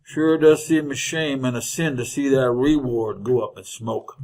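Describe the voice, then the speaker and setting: A man's voice: medium pitch, steady tone, moderate pace, darkish tone, close to an everyday voice. A man playing a greedy, scheming frontier outlaw with rustic, folksy, drawling speech in an old-time radio western. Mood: sly, wary. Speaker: actor in supporting role.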